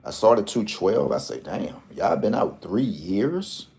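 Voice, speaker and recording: A low-pitched man talks through lottery numbers in a loose, joking vlog ramble. His voice is deep and mellow, and he jumps from slang-filled asides to number picks. He keeps an animated, chatty tone throughout. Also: slow, vocal fry. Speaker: lottery tips host. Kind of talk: casual rambling vlog talk.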